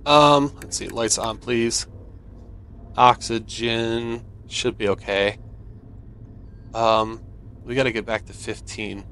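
A man's voice: medium pitch, fairly even intonation, slow pace, pauses often, a bit bright, slight background noise. A man giving casual gameplay commentary, thinking aloud and asking himself questions as he plays. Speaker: gamer playing and commenting on a video game.

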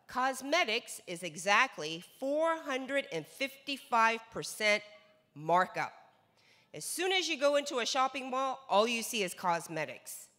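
A woman's voice: a little high, bright, forceful tone. A woman in her forties from North America giving an emphatic motivational sales lecture on a large conference stage, speaking into a handheld mic. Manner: emphatic, repeats words for stress. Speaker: seminar speaker. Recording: hall reverb.

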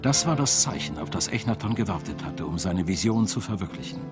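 A man reading scripted documentary narration in a measured, storytelling manner. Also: deep voice, animated. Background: music.

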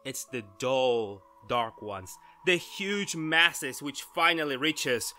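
A man acting an excitable, lecturing amateur astronomer in a theatrical, grandiose 1930s radio drama style. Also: medium pitch, slow pace, soft background music, exaggerated voice. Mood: confident explaining.

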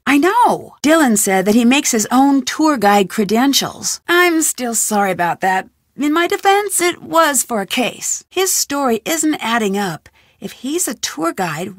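A woman's voice: a little high, no echo. An actress voicing a curious, wry young female amateur detective in a video game, with dry asides. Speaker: game protagonist. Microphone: close studio mic.

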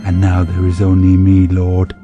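A man playing a weary soldier confessing to God in despair, a solemn, measured monologue for an audio drama. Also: low voice, completely flat delivery, muffled recording, lightly acted. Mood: haunted, despairing. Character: weary, haunted male soldier praying in despair. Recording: music behind the monologue.

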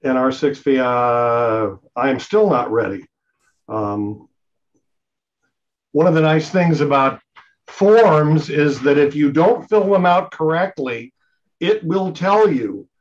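A man's voice: on the high side, slow. An older man explaining radio operating practice casually as a co-presenter, heard through dull video-call audio.

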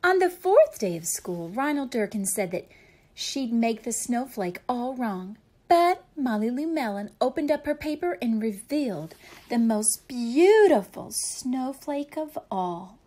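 Slow, higher-voiced woman reading a picture book. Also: big pitch swings, darkish tone. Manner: simple explanatory asides addressed to children. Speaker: teacher reading aloud to young pupils. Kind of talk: expressive picture-book read-aloud for children.

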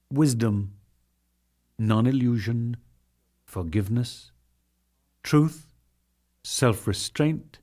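A man performing a solemn divine lord in a dramatic scripture reading, declaiming in measured phrases with deliberate pauses. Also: mid-range voice, dark tone. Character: Krishna, solemn divine lord teaching a devotee.

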